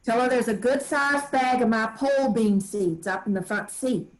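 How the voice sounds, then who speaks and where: Woman doing folksy old farm granny, kinda high voice, firm but loving, stage reading. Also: close to an everyday voice, poor audio. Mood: folksy, instructing. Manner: plain rural dialect, brisk kindly commands.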